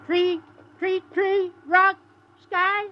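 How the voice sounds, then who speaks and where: Small gliding creature, squeaky and sky-high, slow childlike broken English, vintage radio sound. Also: little pitch movement, heavily acted. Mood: excited. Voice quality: squeaky, thin. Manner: parrots single words in simple broken English. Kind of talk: 1950s radio drama acting. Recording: dull, noisy vintage radio recording.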